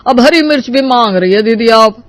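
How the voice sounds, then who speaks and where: Man acting a very high-voiced vegetable hawker. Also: animated, moderate pace, a bit bright, no echo. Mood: placating. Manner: coaxing, calling out wares. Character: wheedling, persistent male market vegetable seller.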